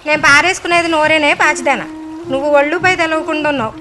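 A woman's voice: sits high, steady tone, fast, lightly acted. A woman playing a bitter, domineering older village woman who rants and insults in coastal Andhra Telugu, in an audio drama. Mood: scornful scolding. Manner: ranting, insulting, confrontational. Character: foul-mouthed, scolding elder village woman, bitter and domineering. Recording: loud music under the voice.

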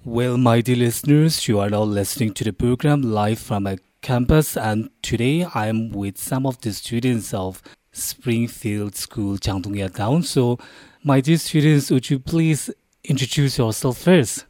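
Polite radio host, dry sound. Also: male voice, medium pitch, measured pace, mellow, dry recording. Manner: formal, polite, addresses listeners directly. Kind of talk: live radio interview.

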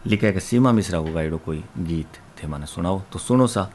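Man, Rajasthani accent, radio host reading listener letters, low voice, reels off names. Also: lively intonation, moderate pace, darkish tone, a little hiss or hum. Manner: reels off long lists of names and places. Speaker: radio request-show host.

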